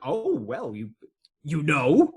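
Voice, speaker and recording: Frantic nephew, male actor, radio stage comedy; rough-edged voice, flustered, exclamatory, highly animated. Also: takes it slowly, heavily acted. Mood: shocked disbelief. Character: frantic, appalled nephew of two elderly aunts.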